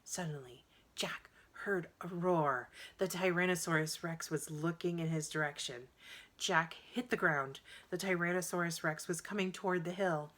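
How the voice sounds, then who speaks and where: A woman reading a children's adventure story aloud in an expressive, dramatic narrating style. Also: lowish voice, normal speed. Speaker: storybook reader.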